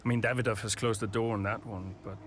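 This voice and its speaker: A man playing an earnest, guarded racing team boss in a video game, speaking in a halting, confiding, understated way. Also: on the low side, steady tone, background noise, natural voice.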